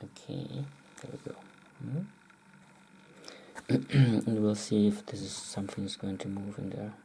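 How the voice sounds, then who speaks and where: A person muttering a casual, halting running commentary, often clearing the throat. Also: low voice, warm tone, a little hiss or hum. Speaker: video maker demonstrating close-up specimens.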